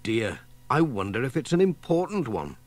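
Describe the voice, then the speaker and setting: A man's voice: mid-range voice, sing-song, moderate pace, close to an everyday voice. A man voicing a sensible, motherly, mildly fussing village woman in a children's storybook.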